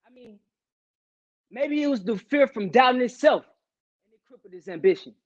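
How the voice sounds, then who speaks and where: A grieving, earnest man played by a male actor delivers an emphatic dramatic monologue. His voice is notably high for a man, and the online recording sounds slightly dull. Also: expressive, moderate pace. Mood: pained, earnest. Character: grieving, impassioned man mourning a friend's lost ambition. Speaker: auditioning actor.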